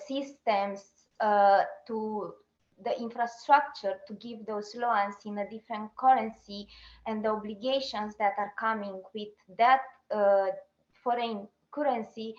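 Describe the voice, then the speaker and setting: A woman from Romania giving an online talk as an activist, pausing and restarting her sentences often. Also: on the high side, echoey room, lo-fi sound. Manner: halting, with restarts and fillers. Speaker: activist speaker.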